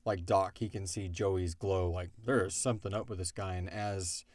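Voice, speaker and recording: A man's voice: low-pitched, steady tone, moderate pace, a little mellow, no echo. A man talking in a casual, rambling, conversational way with lots of "like", as a show's creator answering listener questions. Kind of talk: casual Q&A discussion.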